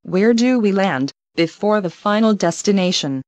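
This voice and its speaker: A woman reading English travel phrases one by one, slowly and carefully, for learners to repeat. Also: medium pitch, animated, darkish tone, dry recording, muffled recording. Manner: careful, evenly paced, each phrase read alone. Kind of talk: slow clear phrase repetition for learners.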